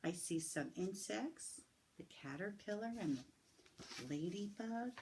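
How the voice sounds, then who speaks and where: A woman teacher reading a picture book aloud to young children, slow and sing-song, stretching words and asking them questions. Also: mid-range voice, lively intonation, noisy background. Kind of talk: storybook read-aloud lesson for young children.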